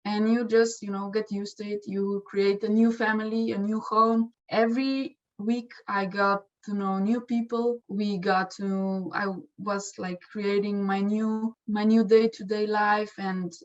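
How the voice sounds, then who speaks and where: A woman's voice: medium pitch, level tone, a little slow, hardly any pauses, highs cut off. A young woman sharing her experience as an exchange student in a casual testimonial, speaking with many restarts and fillers. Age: young adult.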